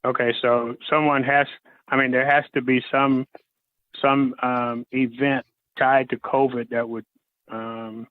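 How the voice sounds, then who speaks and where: A man's voice: mid-range voice, level tone, unhurried, no room sound. A man calls in over a narrow, dry phone line to a public hearing. He puts his questions politely and hesitantly, in a businesslike way. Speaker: caller representing a nonprofit agency.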